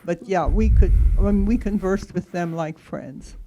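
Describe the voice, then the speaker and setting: A woman's voice: on the low side, moderate pace, dark tone, highs cut off. A woman in her eighties or older from rural Kansas, a native German speaker, reminiscing at a book talk. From rural Kansas, United States. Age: over 80. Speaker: audience member sharing memories.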